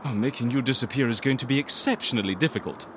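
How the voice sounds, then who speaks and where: A man's voice: mid-range voice, fast talker, a little hiss or hum, close to an everyday voice. A man playing a polite, eccentric fixer in a comedy audio drama, hesitant and theatrical in his manner. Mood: flustered. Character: eccentric, polite, excitable male fixer interviewing a client. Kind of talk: audio fiction comedy acting.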